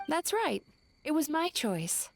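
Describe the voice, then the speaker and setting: A woman voicing an earnest, gentle young yokai in a video game, speaking in a measured, slightly wry way. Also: high-pitched, very animated, vocal fry, full character voice. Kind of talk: video game character acting.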